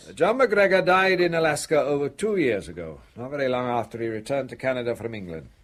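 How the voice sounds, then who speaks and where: A man playing a measured, probing police inspector in a radio drama. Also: mid-range voice, animated, fairly bright tone, slight background noise, close to an everyday voice.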